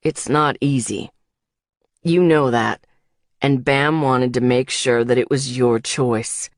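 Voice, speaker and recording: A gruff biker man, acted by a woman speaks low and slow in an audiobook. He is calm, blunt and protective, keeping his words steady in a dry, close recording. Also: dry recording, heavily acted. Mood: calm, explanatory. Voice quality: low, put-on male voice. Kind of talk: audiobook character dialogue.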